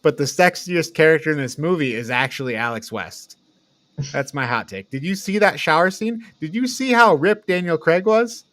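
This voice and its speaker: A man's voice: a little high, expressive, normal speed, a bit bright, no echo. A man co-hosting a podcast, chatting casually and informally as he retells and reviews a movie in loose paraphrase. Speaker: podcast host.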